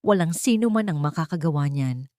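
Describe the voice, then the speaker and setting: Woman voicing a gentle, devoted male doctor. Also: sits low, animated, brisk, dry recording, studio quality. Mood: tender. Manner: gentle, sincere.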